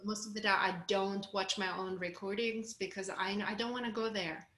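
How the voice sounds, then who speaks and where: A woman's voice: medium pitch, steady tone, moderate pace, a bit bright, reverberant. A woman with a Russian accent coaching a group on a video call, candid and encouraging. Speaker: course coach.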